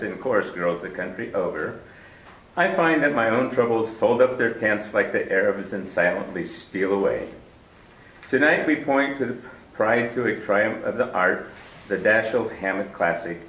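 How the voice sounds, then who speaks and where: A man's voice: fairly low pitch, a bit bright. A man hosting and narrating a 1940s radio drama in a formal, stately manner. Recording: roomy, distant 1940s radio broadcast recording.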